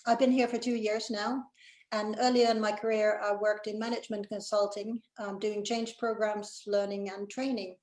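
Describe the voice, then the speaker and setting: A middle-aged Finnish woman whose English has a mid-Atlantic lean, talking over an echoey online call. Her pitch sits in an ordinary middle range, and she thinks aloud with plenty of ums and easy laughs. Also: level tone, moderate pace, darkish tone. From Finland. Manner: thoughtful, hesitant with many ums, laughs easily. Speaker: guest, executive director. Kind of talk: casual interview chat. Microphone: video call.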